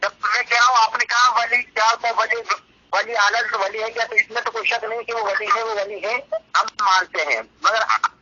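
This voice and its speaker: A man's voice: sits high, steady tone, moderate pace, sharp tone. A man arguing about religion on a recorded phone call in a combative, scolding way. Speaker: debater in a phone argument. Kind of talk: religious debate over the phone. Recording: noisy, dull phone call recording with clicks.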